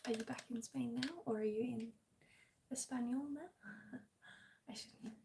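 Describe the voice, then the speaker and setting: A woman with an Australian accent chatting gently in a hushed, breathy, near-whispered ASMR live stream style. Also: a little high, fairly even intonation, moderate pace, a little hiss or hum. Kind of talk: soft-spoken ASMR live chat with viewers.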